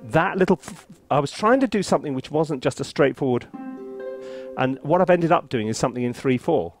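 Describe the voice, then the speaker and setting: A man's voice: a little high, expressive, fairly quick, soft background music. A man giving a casual tutorial commentary as a composer at work, thinking aloud in a playful, self-deprecating way. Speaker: composer reviewing a sample library.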